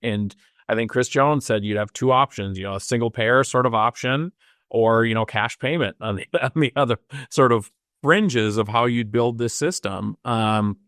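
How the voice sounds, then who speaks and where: A fifty-year-old man with a mid-range voice co-hosts in a relaxed, agreeable manner, often nodding along before adding his own take. The recording is close and dry. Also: mid-range voice, normal speed, no room sound. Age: 50s. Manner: agreeable, frequent affirmations and fillers. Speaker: talk show co-host. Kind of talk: casual political chat.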